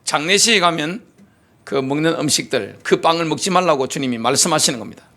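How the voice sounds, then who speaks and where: Animated high-voiced preaching man. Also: brisk. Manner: animated preaching with rhetorical questions, reads scripture aloud. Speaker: pastor. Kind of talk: sermon.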